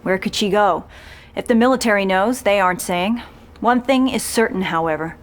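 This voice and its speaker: A woman playing a serious, dramatic television special reporter in a video game, narrating in a polished, measured and ominous way. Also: mid-range voice, natural voice.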